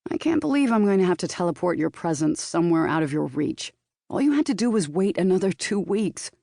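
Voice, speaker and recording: A woman voicing a shrewd, teasing male vampire lover in audiobook dialogue, knowing and flirtatious in a low-key way. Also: big pitch swings, brisk, creaky, warm tone, dry recording, clean studio sound, full character voice. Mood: amused exasperation.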